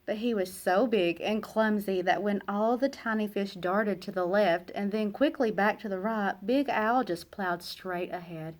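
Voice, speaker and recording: A woman narrating a children's picture book aloud in an expressive, dramatic way, with emphatic pauses and hushed phrase endings. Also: mid-range voice. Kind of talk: expressive storybook read-aloud.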